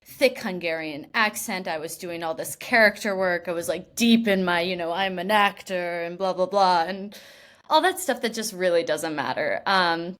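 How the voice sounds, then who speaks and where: A woman's voice: fairly low pitch, moderate pace, a bit bright. A young woman from Washington state, an actress being interviewed, talking casually with lots of "like" fillers and restarts. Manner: chatty, laughing, many "like" fillers and restarts.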